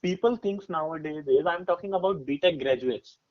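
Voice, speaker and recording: Male, twenties, Indian accent. Fairly high-pitched, emphatic podcast guest talking through advice with personal examples; dull recording. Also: fairly quick. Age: 20s. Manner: emphatic, explanatory, often checking with "right?". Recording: dull, muffled lo-fi audio.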